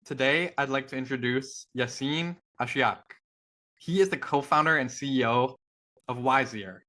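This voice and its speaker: A man hosting a podcast interview, asking questions in a measured way with occasional stammered restarts. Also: medium pitch, pushed and bright. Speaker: podcast host.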